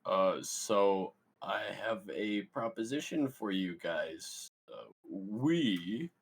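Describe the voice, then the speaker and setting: A man playing an awkward, excitable young stoner, cocky and bumbling, in a comedy script read. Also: lowish voice, takes it slowly, creaky, a little hiss or hum, heavily acted. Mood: awkward wheedling. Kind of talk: comedy screenplay table read.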